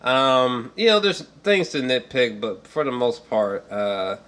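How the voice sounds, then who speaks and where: A man's voice: medium pitch, takes it slowly, fairly bright tone, slight background noise. A man giving a casual, rambling film review, searching for words and repeating himself, with emphatic, slangy swearing. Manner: emphatic, fannish.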